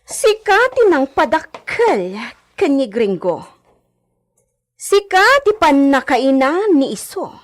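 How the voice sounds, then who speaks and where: An actress playing a haughty, domineering young hacienda heiress in an Ilocano radio drama, with an imperious delivery. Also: female voice, sits high, sing-song, slow pace, exaggerated voice. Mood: imperious, scolding.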